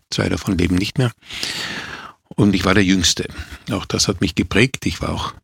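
A man in his sixties with an Austrian accent, telling his life story in a measured, thoughtful way, often repeating words as he searches for them. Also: low voice, vocal fry, slightly rough, no echo. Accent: Austrian German. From Austria, Vienna. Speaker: actor recounting his life. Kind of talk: reflective autobiographical talk.

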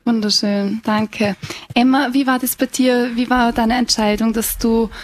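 A woman's voice: on the high side, steady tone, unhurried. Woman radio presenter asking questions. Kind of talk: live radio interview. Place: bookshop café.

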